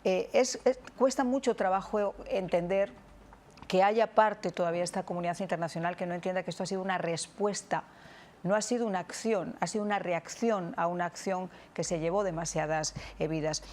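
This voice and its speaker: Female TV interviewer, slightly low voice, serious news-interview register. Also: a little fast, darkish tone.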